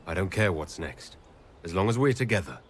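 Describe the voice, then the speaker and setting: A steadfast male hero leading his party in a fantasy game. His voice is fairly low and expressive, with faint ambience behind. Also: a little fast, a little hiss or hum. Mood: resolute, devoted. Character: steady, supportive heroic leader, a man. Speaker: player hero. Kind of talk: video game character acting.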